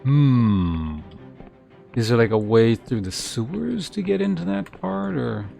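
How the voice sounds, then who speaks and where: A man chatting casually on a game livestream, thinking aloud in hesitant, trailing half-sentences with a gravelly fry to his voice. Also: mid-range voice, sing-song, slow, mellow. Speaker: game streamer. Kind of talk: casual livestream gameplay chat. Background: faint game music.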